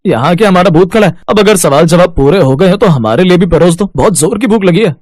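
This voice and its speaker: A rude grown son from a cartoon moral tale, played by a man. He is curt and sarcastic, with a high, sharply swinging male voice. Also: animated, fast talker, a little mellow, no room sound, clean studio sound. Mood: irritated, sarcastic. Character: rude, impatient adult son.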